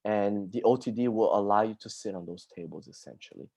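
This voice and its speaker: A man's voice: lowish voice, a little fast, creaky, a little mellow, husky. A man from Brazil, an occupational therapist, chatting casually on a video call to answer student questions, with lots of "dude" and "like".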